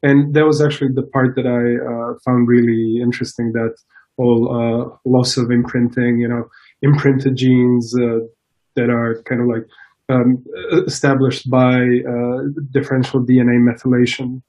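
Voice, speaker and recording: A mellow, dark-voiced man from Croatia speaks as a guest in a relaxed interview. He restarts his sentences often and fills the gaps with ums and uhs. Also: medium pitch, normal speed, muffled recording. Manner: hesitant, many restarts and fillers. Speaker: scientist guest. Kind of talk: casual interview.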